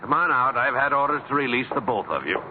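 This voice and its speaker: A man's voice: mid-range voice, expressive, quick pace, lightly acted. A man playing a curt jail guard. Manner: curt, officious. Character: brusque male jail guard. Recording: tinny hissy old radio broadcast.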